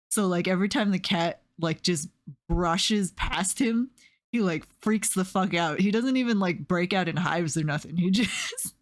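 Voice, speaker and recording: A young woman streaming and chatting casually, telling rambling stories with lots of fillers and soft laughs. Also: lowish voice. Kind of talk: casual chat while drawing on stream.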